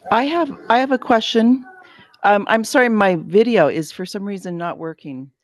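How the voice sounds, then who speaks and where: A woman's voice: mid-range voice, normal speed, no echo, clean studio sound. An older woman, a retired teacher, asking a question in an online meeting with many fillers.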